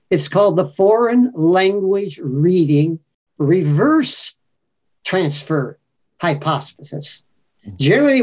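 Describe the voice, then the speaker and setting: A man speaking as a panelist in a webinar, telling a story slowly and deliberately, often repeating his points. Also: high-pitched, a little mellow. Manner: slow, deliberate storytelling with repetition. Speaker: panelist, reading scholar. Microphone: video call. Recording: muffled lo-fi webinar audio.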